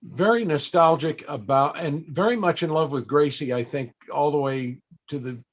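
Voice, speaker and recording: A man hosting a casual panel interview, asking questions and sharing anecdotes in a somewhat halting way. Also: a little high.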